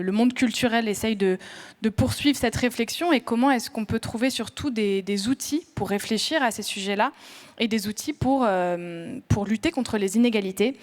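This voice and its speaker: Woman moderating a panel, lots of ums and restarts, middle pitch. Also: a little mellow. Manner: hesitant, many "euh" fillers and restarts. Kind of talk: introducing panelists at a round table. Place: conference room with audience.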